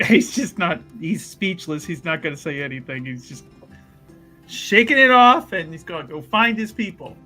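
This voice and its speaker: A man with a bright, fairly high voice talks as a player in a tabletop game. His delivery is casual and jokey, with a theatrical flair, over faint music. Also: lively intonation, normal speed. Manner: jokey, theatrical phrasing, chuckles often. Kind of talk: casual, animated game chat.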